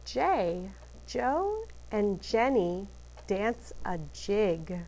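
Woman, teacher, children's read-aloud, animated pitch, slow pace, deliberate emphasis. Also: a little hiss or hum. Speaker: art teacher reading aloud to classes. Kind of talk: picture book read-aloud.

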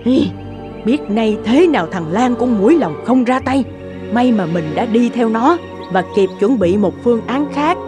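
A woman's voice: on the high side, lively intonation, a little slow. A woman voicing a sly, murderous middle-aged stepmother who wheedles as a cake seller in a cartoon fairy tale. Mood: gloating. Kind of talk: animated fairy-tale dubbing. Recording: music under the voice.

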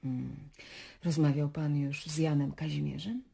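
Actress as a husky, nosy female journalist. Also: low-pitched, steady tone, a little slow, dark tone, heavily acted. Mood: coy, probing. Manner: probing, coaxing. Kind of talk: radio play acting.

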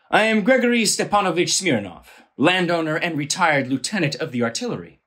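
An actor playing a blustering, rude male landowner and retired army officer in an audio play, brusque and formally forceful. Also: medium pitch, slightly rough, full character voice. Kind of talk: theatrical audio play acting.

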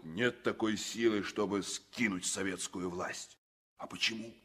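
A man's voice: on the low side, fairly even intonation, measured pace, sharp tone, husky, heavily acted. A man playing a hearty, folksy sailor in a 1960s Soviet radio play, musing and emphatic. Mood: proud, emphatic. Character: hearty, confiding Baltic sailor, devoted to revolution.

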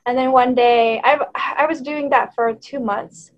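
A woman's voice: sits high, steady tone, a little slow, muffled recording. A woman in her thirties with a Thai accent, a native Thai speaker, chatting casually as a podcast guest over a video call, with lots of fillers and small laughs. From Thailand. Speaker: guest, YouTuber and language coach. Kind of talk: casual interview chat. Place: room in front of a green screen.

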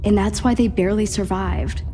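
A woman's voice: medium pitch, steady tone, a little fast, mellow, slight background noise, lightly acted. An actress playing an earnest, determined teenage girl in a video game, speaking in an eager, insistent way. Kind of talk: naturalistic video game cutscene acting.

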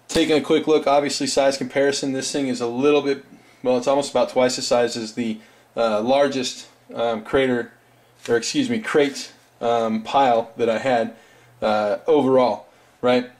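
A man in his thirties with a raspy voice, hosting a casual tabletop terrain review for his viewers, loose and chatty. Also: mid-range voice, fairly even intonation, moderate pace, darkish tone. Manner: loose and chatty, full of fillers and asides. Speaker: tabletop hobby channel host.

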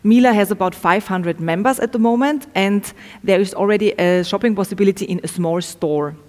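A woman's voice: medium pitch, brisk, a little mellow. Echoey young woman presenting research. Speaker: researcher presenting study findings. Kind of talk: prepared academic presentation. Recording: echoey, reverberant room sound.

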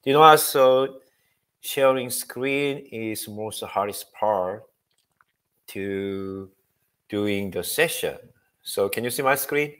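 Casting: upbeat presenter, man, forties, halting delivery full of fillers, headset mic on a video call. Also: lowish voice, fairly bright tone. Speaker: guest speaker. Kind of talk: technical presentation. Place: home room.

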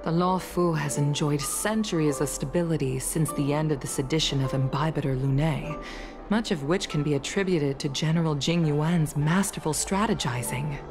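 Actress as mature woman ex-pilot, deep voice, courteous, reflective, measured, game acting, clear music behind. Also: close to an everyday voice. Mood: apologetic, reflective. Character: mature fox-eared woman, retired pilot, courteous and wistful.

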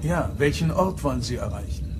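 A commanding starship captain, played by a male actor in a sci-fi radio play. He speaks with formal, insistent authority at a middling male pitch, over prominent music. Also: animated, brisk, lightly acted. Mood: stern, probing. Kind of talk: German audio drama acting.

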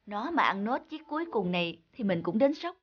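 A woman voicing a young female traveller in a cartoon, expressive and quick to judge. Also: mid-range voice, lo-fi sound, noisy background, close to an everyday voice. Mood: indignant. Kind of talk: cartoon dubbing.

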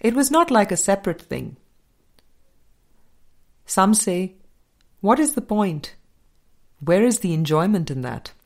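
Slow-paced woman reading a book aloud. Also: medium pitch, big pitch swings, a little mellow. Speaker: audiobook narrator.

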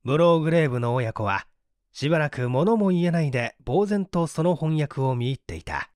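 High-pitched male story reader, dry sound. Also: lively intonation, fairly quick, a little mellow, no echo. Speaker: audiobook reader. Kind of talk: scripted literary narration of a mystery story.

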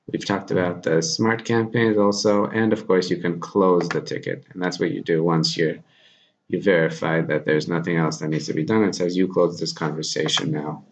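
A man in his thirties presenting a software tutorial informally, thinking aloud as he demonstrates at his computer. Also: deep voice, creaky. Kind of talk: casual software screencast tutorial. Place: small plain room indoors. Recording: dull lo-fi audio, background noise.

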